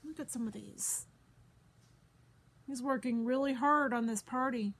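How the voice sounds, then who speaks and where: A woman's voice: fairly high pitch, measured pace, fairly bright tone. A woman storyteller reading a picture book aloud to children, expressively, addressing listeners directly as she goes.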